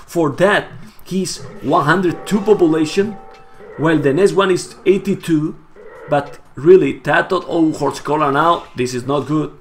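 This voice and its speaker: A hoarse, Spanish-native man casting a live strategy game match, getting louder and repeating himself at big plays. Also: on the high side, measured pace, soft background music. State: hoarse, losing his voice. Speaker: esports caster. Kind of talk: excited live game commentary.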